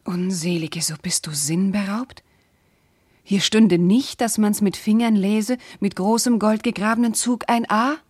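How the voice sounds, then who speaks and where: A woman's voice: mid-range voice, measured pace, a little mellow, no room sound, lightly acted. A woman playing a young, noble princess, anguished and bewildered, in solemn, fervent German classical verse radio drama. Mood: despairing.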